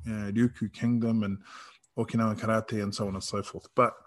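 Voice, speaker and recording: A man with a New Zealand accent in casual conversation, reflective and halting, often rephrasing as he goes. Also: fairly low pitch, level tone. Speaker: karate practitioner in discussion.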